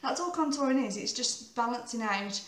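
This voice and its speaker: A woman in her thirties with a croaky voice, giving a chatty, rambling makeup tutorial and often correcting herself. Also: a little high, animated, moderate pace, reverberant. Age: 30s.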